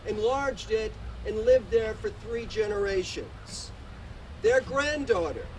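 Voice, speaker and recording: A man in his sixties, a museum director, giving a measured commemorative speech outdoors, reading dates and historical detail in a loud voice. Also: extremely high pitch. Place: outdoors at a podium in a park. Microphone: podium microphone, distant. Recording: distant podium mic, outdoor traffic hum.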